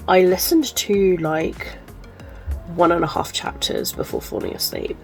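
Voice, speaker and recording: A woman's voice: fairly low pitch, a little slow, vocal fry, faint music behind. A woman chatting casually to camera as a book vlogger, sounding chesty and congested, rambling with lots of ums and likes. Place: at home.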